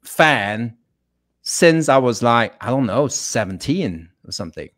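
A man's voice: medium pitch, animated, unhurried, fairly bright tone. A middle-aged man chatting as a guest over a video call, joking and chuckling. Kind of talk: casual video call chat.

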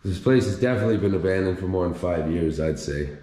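Man, deep and dark-toned, thinks aloud on a house walkthrough; roomy echo. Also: lively intonation, fast talker. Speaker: urban explorer vlogger. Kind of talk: casual walkthrough narration. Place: empty abandoned house indoors. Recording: echoey empty rooms, faint hiss.

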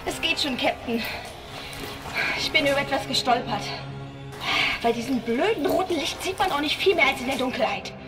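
Woman playing a plucky, high-voiced crewwoman. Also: lively intonation, moderate pace, long pauses. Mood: uneasy. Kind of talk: German sci-fi horror radio drama acting. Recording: loud music behind the voice.

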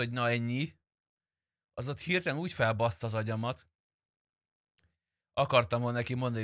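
Male game streamer, bright and dry-sounding, casual blunt chat with plenty of swearing. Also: mid-range voice, no room sound. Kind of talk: casual gameplay chat and roleplay commentary.

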